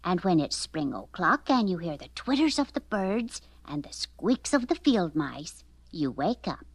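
Woman as a gentle, slow-speaking mother bear. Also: on the low side, sing-song, husky, no echo, voice pushed down, exaggerated voice. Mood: soothing. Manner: soothing, patient explaining.

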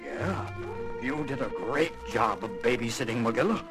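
An actor playing a cartoon father in animated dialogue, with music behind him. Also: medium pitch, measured pace. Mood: approving. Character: adult man, parent returning home to his babysitter.

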